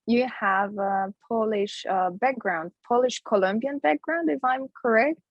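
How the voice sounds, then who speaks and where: A woman's voice: fairly high pitch, measured pace, dry recording, dull audio. A woman interviewing a guest, asking questions hesitantly with fillers.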